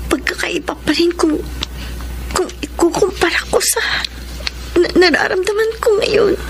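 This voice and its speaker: A Filipino actress playing a guilt-torn married woman in a secret affair, speaking in a breathy, halting, melodramatic radio drama style. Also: very high voice, background noise, exaggerated voice. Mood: guilty, tender. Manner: breathy, halting, hushed confessions. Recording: music under the voice.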